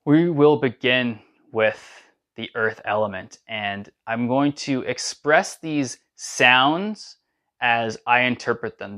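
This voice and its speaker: A man leading a guided sound meditation, speaking in calm, unhurried instructions between drawn-out chanted syllables. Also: medium pitch. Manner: slow, soothing guidance with drawn-out chanted syllables.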